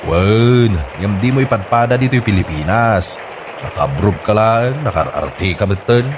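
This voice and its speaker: A man's voice: on the low side, animated, unhurried, background noise. A man playing a plain-spoken, mocking village neighbour in an Ilocano comedy drama, with an Ilocano accent.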